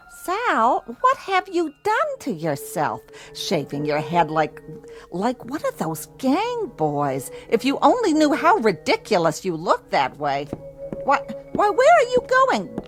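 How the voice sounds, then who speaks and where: A fussy landlady with a high, wildly swooping voice. She nags indignantly at an unhurried pace, as in a television drama, with faint music behind. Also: wildly swooping pitch, exaggerated voice. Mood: scandalized, scolding. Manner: nagging, indignant. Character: fussy, scolding landlady, easily scandalized.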